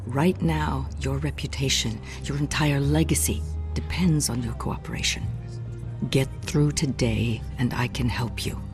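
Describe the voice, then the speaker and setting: An actress playing a stern, businesslike corporate chairwoman in a video game, formal and measured. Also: extremely low pitch. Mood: firm, apologetic. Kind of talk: video game cutscene acting. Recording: music behind the voice.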